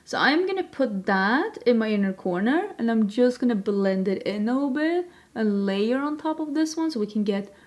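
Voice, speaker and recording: A woman's voice: fairly high pitch, lively intonation, normal speed, few pauses, darkish tone. A young woman from Sweden, chatting casually and self-deprecatingly while she does her makeup on camera. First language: Swedish. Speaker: beauty vlogger. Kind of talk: makeup tutorial chat.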